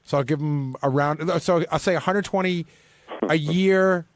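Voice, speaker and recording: A man's voice: fairly high pitch, normal speed. A talkative man chatting casually on a radio show panel, reasoning things out aloud. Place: radio studio.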